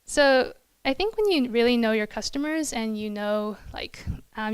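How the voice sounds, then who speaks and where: A woman's voice: medium pitch, smooth, dry recording. A young woman giving an informal talk as a product designer, speaking conversationally with plenty of "like" and "kind of" into a handheld microphone. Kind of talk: informal presentation to an audience. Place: small indoor event room.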